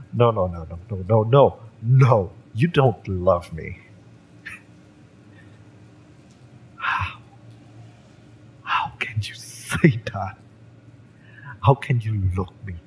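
A man playing a fiery, lovesick young mercenary bodyguard in a romance audio roleplay, speaking with halting, wounded emotion. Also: lowish voice, husky, heavily acted, low-quality recording. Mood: hurt disbelief.

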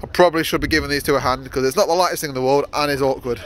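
A man's voice: medium pitch, fairly even intonation, very fast, slight background noise. A young man vlogging casually from his workshop yard, rambling with many fillers and restarts. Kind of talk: casual vlog narration.

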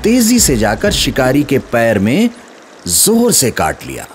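A man narrating a scripted children's moral story in an expressive storytelling manner. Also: medium pitch, slow pace, slight background noise. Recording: music under the voice.

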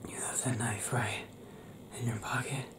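A man's voice: mid-range voice, lightly acted. Guy acting as a young werewolf boyfriend, whispery and tender, anxious, coaxing, close up in a roomy space, asmr roleplay. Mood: tender, worried. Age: young adult. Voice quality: breathy, whispery. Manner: hesitant, intimate, coaxing. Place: small indoor room with pale doors. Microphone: close camera mic, within arm's length. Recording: roomy close-up home recording.

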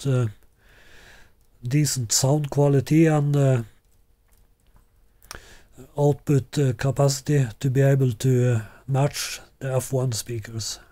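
A man in his sixties presenting a product in an unscripted way, halting and deliberate with long hesitations. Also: mid-range voice, flat delivery, warm tone, no echo. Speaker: loudspeaker designer presenting a product. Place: small living room, indoors.

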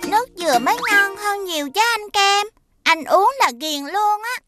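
A woman's voice: very high-pitched, normal speed, pushed and bright, soft background music. A woman voicing a small, sweet young child. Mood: chirpy.

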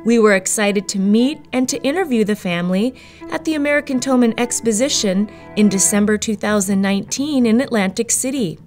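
A woman's voice: a little high, a little mellow. A woman host giving an upbeat, scripted promotional voice-over. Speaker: show host and narrator.

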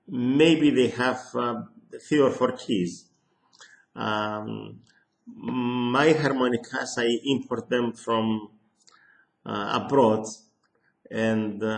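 An older man with a Greek accent explains things slowly, pausing often and restarting his phrases. His voice sits in an echoey small room, picked up by a close webcam. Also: mid-range voice. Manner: slow, hesitant, many ums and restarts. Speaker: harmonica teacher. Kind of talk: casual lesson to camera. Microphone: webcam at close range.

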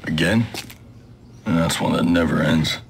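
An actor playing a steady special-forces soldier in a video game, speaking in a terse, understated way. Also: male voice, deep voice, a little slow, background noise. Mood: calm, familiar. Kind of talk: naturalistic video game acting.

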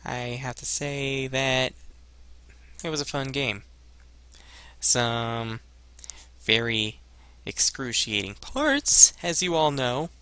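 A young man giving casual, halting gameplay commentary as a let's play host, rambling and muttering to himself into a headset. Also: medium pitch, lively intonation, pushed and bright, smooth, dry recording. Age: young adult.

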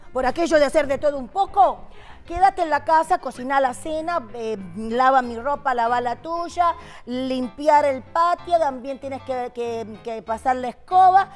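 A woman doing a shrill, high-pitched older wife from a TV comedy sketch, bossy and scolding, her voseo-laced sharpening every complaint. She talks fast and pushy over faint music in the background. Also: close to an everyday voice. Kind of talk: sitcom sketch acting. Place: TV studio set, indoors. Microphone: lapel mic.

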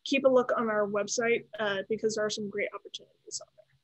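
A woman's voice: medium pitch, steady tone, quick pace, no echo, low-quality recording. A woman, a native Spanish speaker, answering student questions on a video call in an encouraging way, often adding "right?". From United States. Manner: encouraging, frequent "right?" tags. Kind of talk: webinar Q&A talk.

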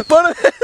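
A young man in casual, rowdy banter with friends. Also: very high-pitched. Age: young adult. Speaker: challenge participant. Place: backyard, outdoors. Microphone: camera a few metres away. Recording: very noisy outdoor camera audio.

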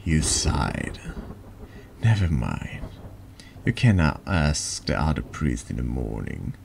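Very deep, gravelly, slow-spoken man. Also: very animated, darkish tone, slight background noise. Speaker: streamer reading a text adventure game aloud. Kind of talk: slow ASMR-style horror narration.

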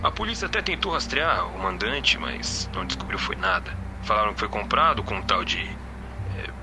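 A man's voice: lowish voice, very animated, normal speed, noisy background. A man playing a bitter, grieving middle-aged Brazilian father in an audio drama. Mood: subdued, recalling.

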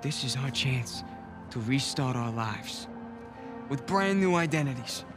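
Male actor as hopeful young older brother, mid-pitched, earnest and coaxing, game drama beneath a very loud score. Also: lively intonation, unhurried, music in the background, lightly acted. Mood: hopeful, persuasive. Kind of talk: dramatic cinematic game acting.

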